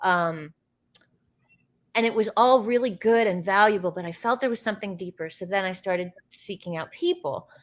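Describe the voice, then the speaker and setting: A woman from the United States, a guest in a casual interview, telling animated, rambling stories with many fillers and restarts. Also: medium pitch, normal speed. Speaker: interview guest, actress and filmmaker.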